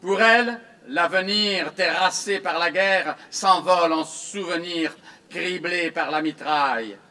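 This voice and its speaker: A man reciting his own commemorative poem in a solemn, theatrical, declamatory style with measured phrasing. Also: sits high, pushed and bright.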